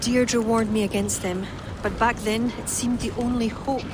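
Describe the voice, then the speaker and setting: An actress voicing a former druid woman in a game, speaking in a measured, earnest, reflective way. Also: a little high, steady tone, heavy background noise, natural voice. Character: former druid woman, now a noble ally.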